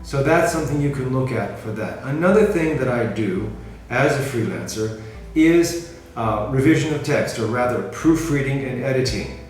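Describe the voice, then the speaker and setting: A middle-aged American man from California, talking casually to the camera in a measured way and often rephrasing himself. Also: mid-range voice, animated. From California, USA. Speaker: freelancer presenting himself. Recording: very echoey room, mic at a distance, music behind.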